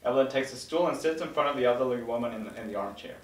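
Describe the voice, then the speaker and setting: A man's voice: medium pitch, racing. A man reading stage directions as the narrator in a scripted table read. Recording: roomy recording, mic at a distance.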